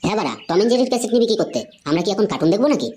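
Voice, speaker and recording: A cheeky, mouthy little boy from a Bengali cartoon, acted by a woman. The delivery is rapid, suspicious and full of slang. Noise is clearly heard behind the voice. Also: medium pitch, sing-song, natural voice. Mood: puzzled, challenging. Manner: challenging, slangy, insolent. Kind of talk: Bengali comedy cartoon dubbing.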